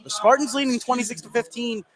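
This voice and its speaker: A man doing excitable live play-by-play of high school basketball in short running phrases. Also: fast talker, a crowd in the background. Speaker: play-by-play sports commentator.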